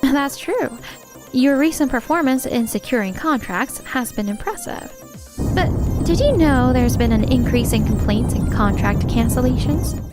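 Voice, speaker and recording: A poised young lady boss played by a woman with a very high voice. She is composed, earnest and supportive, frank but kind, in a manga romance dub. Soft music runs behind her. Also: animated, unhurried. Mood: gently firm. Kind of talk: anime-style dubbed dialogue.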